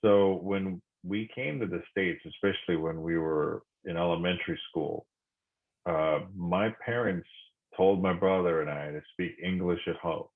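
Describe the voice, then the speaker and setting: A middle-aged man from Korea reflecting in conversation, speaking thoughtfully with many pauses and restarts. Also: low voice, fairly even intonation. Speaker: podcast guest. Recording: phone-like narrow sound.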